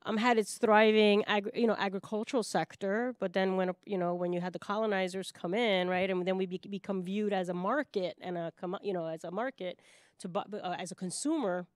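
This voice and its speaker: A woman's voice: mid-range voice, brisk, no echo. Emphatic woman from Puerto Rico, dry sound. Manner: emphatic, often checks in with "right?". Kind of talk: panel discussion, impassioned unscripted talk.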